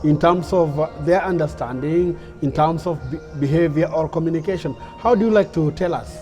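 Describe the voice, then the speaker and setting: High-voiced man hosting an interview. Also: brisk, dark tone, faint music behind. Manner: formal, enthusiastic introductions with fillers. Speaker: host, presenter.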